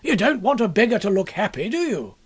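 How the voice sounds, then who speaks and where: Man acting a blustering, high-pitched bohemian painter. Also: sing-song, brisk, husky, exaggerated voice. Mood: brisk, assured. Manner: emphatic, declamatory. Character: blustering, exuberant male painter, bohemian and opinionated.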